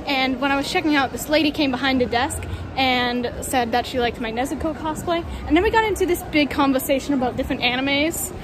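A young woman chatting casually and excitably to a handheld phone while out as a cosplay vlogger. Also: sits high, brisk. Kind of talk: casual chat to camera. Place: shopping mall and stores, outdoors at first. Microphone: handheld phone at arm's length.

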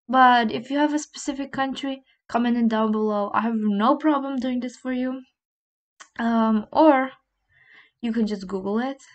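A young woman, a native Slovene speaker, slowly and patiently teaching a language lesson over a screen recording, repeating phrases and checking in with "okay?". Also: on the high side. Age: young adult.

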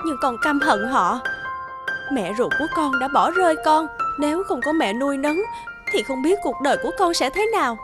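Cartoon drama role: anguished woman, high voice, emotional, pleading delivery, music underneath. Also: lively intonation, normal speed. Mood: bitter. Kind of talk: animated drama dub.

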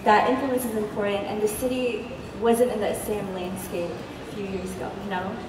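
Young American woman, mid-pitched, panel interviewer, very echoey hall, noisy background. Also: steady tone, moderate pace, recorded from a distance. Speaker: interviewer, moderator. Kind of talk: live panel questions. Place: large indoor event space with audience. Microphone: event mic, heard from distant camera.

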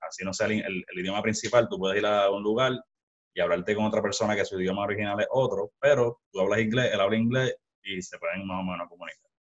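A man's voice: lowish voice, steady tone, quick pace. A young Puerto Rican man hosting a casual video-call interview, rambling with many restarts, talking into a headset mic at home. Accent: Puerto Rican Spanish. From Puerto Rico. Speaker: podcast host. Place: small room at home. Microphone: headset with studio mic. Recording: dull lo-fi sound.

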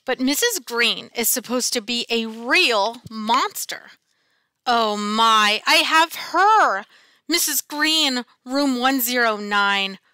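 A woman reads a children's picture book aloud like a teacher to a class. Her voice is fairly high and bright, and her delivery is slow and dramatic. The sound is dry and close. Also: animated, no room sound. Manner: dramatic, deliberate storytelling. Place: small classroom, indoors. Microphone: headset.

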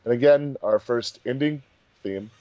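A man's voice: mid-range voice, a little slow, dry recording, muffled recording. A man hosting a commentary track in casual, chatty speech. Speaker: fandub director and commentary host.

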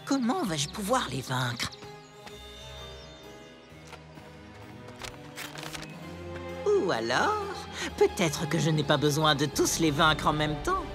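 A sly clown villain for an animated series. He is theatrical and wheedling, drawing words out slowly with big swoops of pitch. Loud music plays behind him. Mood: scheming. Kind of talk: animated cartoon dub acting.

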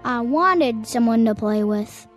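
A woman's voice: fairly high pitch, expressive, slow pace, soft background music. An actress voicing an eerie little boy in a radio drama, blunt and childlike with a petulant, innocently menacing edge. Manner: blunt, childlike, short answers.

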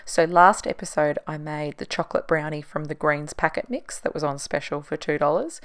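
A woman's voice: low-pitched, vocal fry, clear, resonant tone. A woman in her thirties, chatting casually in a voice-over about what she cooked, laughing at her own shortcuts. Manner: chatty, self-deprecating, laughs at herself. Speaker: cooking vlogger.

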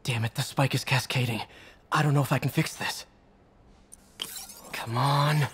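A man's voice: mid-range voice, steady tone, normal speed, a bit bright, husky. An actor playing an earnest, caring young male lab scientist, speaking naturally and hesitantly. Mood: worried. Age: young adult.

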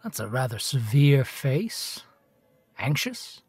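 An actor playing a self-righteous detective in a radio play, questioning in a clipped, insinuating way. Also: male voice, medium pitch, big pitch swings, takes it slowly, slightly rough, no echo, exaggerated voice. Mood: probing. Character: probing, self-righteous male detective, needling a priest. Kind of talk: radio drama acting.

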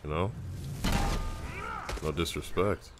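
Male gamer commentator, extremely low voice, sardonic and sweary, loud game music underneath. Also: fairly even intonation, slow. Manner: sardonic, muttering complaints, profane. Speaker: gameplay commentator. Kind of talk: casual gaming commentary. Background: video game music.

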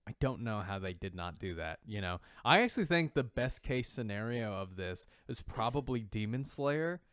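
A man co-hosting a casual podcast chat about comics and animation, haltingly repeating words as he thinks aloud. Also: lowish voice, expressive, fairly bright tone, no echo, studio quality. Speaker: podcast co-host.

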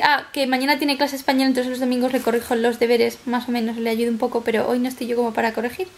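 A young woman with a Spanish accent from Spain talks casually to camera. Her voice is high and full of creaky fry, and she rattles along quickly, rambling as she goes. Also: darkish tone. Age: 20s. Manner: rambling, chatty. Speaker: vlogger. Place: small flat living room, indoors.

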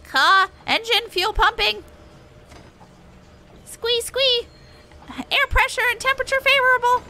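A woman voicing an excitable bird-man crafter from a game, with squawky exclamations and backwards word order. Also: very high voice, measured pace, a little hiss or hum, voice pushed up, exaggerated voice. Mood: excited. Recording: faint music behind.

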